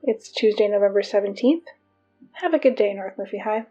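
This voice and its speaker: A woman playing an earnest, officious high school girl who reads morning announcements in a measured, matter-of-fact way for an audio drama. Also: animated, lo-fi sound, over loud music, close to an everyday voice. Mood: measured, businesslike.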